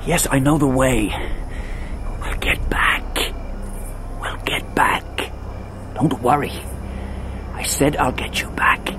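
A man playing a weary, haunted and devout crusader knight, halting and troubled, in an audio drama. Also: medium pitch, in a whisper, lightly acted. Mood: reassuring, hushed.

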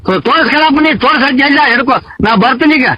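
Very high-voiced arguing North Karnataka man. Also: rapid-fire, no room sound. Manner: argumentative, stammering repeats. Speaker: prank call participant. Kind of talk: heated phone argument in village dialect. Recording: dull lo-fi phone call recording.

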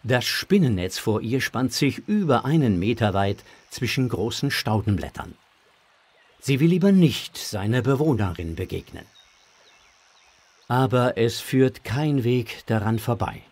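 A male narrator tells a children's story with a very animated melody. His voice is husky and mid-pitched, and his delivery is expressive. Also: measured pace.

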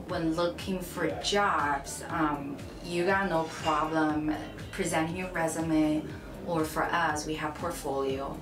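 A young woman from China, speaking English as a second language, answering interview questions in a frank, chatty way at a table. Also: lowish voice. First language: Chinese. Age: young adult. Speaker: interviewee, UX designer. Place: bright room, seated at a table. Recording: echoey room with music under.

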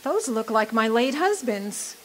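Over clear room noise, a feisty but bewildered gold-rush singer speaks , acted by a woman in a radio play. Her high voice rises and falls dramatically, theatrical and plaintive. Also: big pitch swings, a little slow. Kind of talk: old-time radio drama acting. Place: live performance at a community venue.

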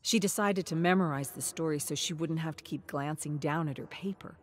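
A woman reading a dramatic scripted story in a measured, suspenseful manner, dropping toward breathy near-whispers for emphasis. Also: low voice. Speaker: narrator.

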